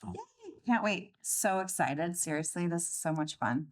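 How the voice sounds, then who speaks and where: Upbeat woman podcast host, lowish voice. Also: moderate pace. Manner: upbeat, eager. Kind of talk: scripted intro and interview.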